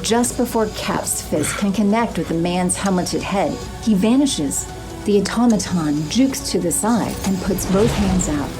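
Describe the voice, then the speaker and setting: A woman's voice: medium pitch, animated, measured pace, over music. A woman giving dramatic scripted narration as the narrator of an audio drama.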